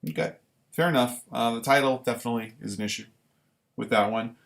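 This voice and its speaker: A man reading his own writing live and commenting on it casually, in deliberate, halting short phrases. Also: medium pitch, fairly even intonation, gravelly, a bit bright. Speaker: writer reading own work; former military recruit.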